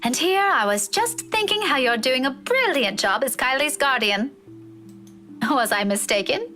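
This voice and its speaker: A woman's voice: high-pitched, animated, moderate pace, soft background music. A woman voicing a feisty young woman, blunt, bossy and teasing, in a visual novel game. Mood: teasing, exasperated. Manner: bossy, teasing, sharp-tongued.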